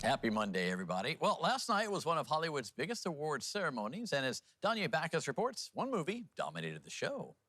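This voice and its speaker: A man's voice: a little high, huge pitch swings, quick pace, hardly any pauses, fairly bright tone, faint music behind. An American man anchoring local TV news in a chatty style with playful, jokey banter. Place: TV news studio.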